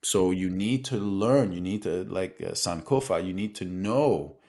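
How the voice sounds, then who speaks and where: A man from Benin speaking reflectively in an interview as a guest, thoughtful and halting, often adding "you know". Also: sits low, a little mellow. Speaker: guest, street dancer and choreographer.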